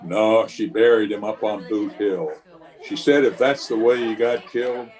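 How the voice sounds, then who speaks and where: An actor playing a weary, watchful Old West marshal in a radio western, speaking and narrating in a measured, terse, grave way. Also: male voice, fairly low pitch, darkish tone, close to an everyday voice. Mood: grave, dry. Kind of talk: old-time radio western acting with first-person narration.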